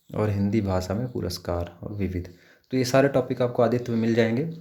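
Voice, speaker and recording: A man's voice: on the low side, dark tone. A man gives an informal instructional walkthrough as an exam preparation teacher, advising step by step and repeating key points.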